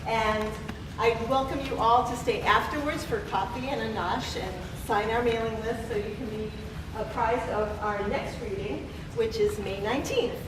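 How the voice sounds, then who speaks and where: A woman speaks as director and host of a live play reading, introducing and narrating stage directions. Her voice sits fairly high. She is recorded from a distance in an echoey, noisy room. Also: moderate pace. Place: temple hall, distant camera mic. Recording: echoey room, distant mic, clear background noise.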